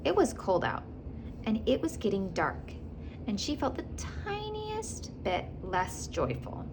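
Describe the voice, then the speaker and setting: A woman reads a children's story aloud in a lively, swooping voice with some gravelly creak. She is expressive, acting out characters and adding asides. There is noticeable noise in the recording. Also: fairly high pitch, a little slow. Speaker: host of a children's read-aloud.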